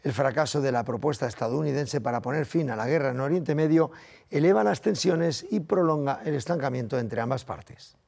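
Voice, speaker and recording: A man's voice: mid-range voice, fairly quick, gravelly, a little mellow. A man with a Castilian Spanish accent presenting the news in a scripted reading style.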